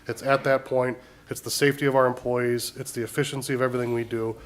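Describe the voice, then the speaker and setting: A man chairing a council meeting as mayor, slightly hoarse from a cold, speaking earnestly and repeating points for emphasis. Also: medium pitch, flat delivery, quick pace. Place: council chambers.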